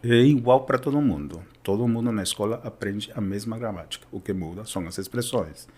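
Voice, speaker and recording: A man's voice: lowish voice, brisk, a little mellow, breathy edge. Man from Peru, Peruvian Spanish accent, Spanish teacher, patient explainer, casual chat. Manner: explanatory, checks in with "certo?" and "né?".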